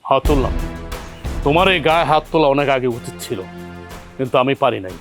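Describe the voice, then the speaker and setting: A man's voice: medium pitch, quick pace, soft background music, close to an everyday voice. An actor playing a young husband who angrily confronts his wife and her sister for insulting his father. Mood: furious. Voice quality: shouting, indignant. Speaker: actor in a family drama.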